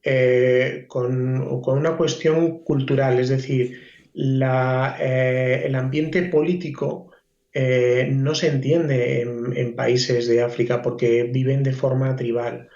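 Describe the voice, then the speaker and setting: Halting Spanish man in a roomy, dull recording. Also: medium pitch, little pitch movement, darkish tone. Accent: Spanish from Spain. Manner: halting, thinking aloud with repeated words. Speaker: podcast guest. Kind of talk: conversational discussion.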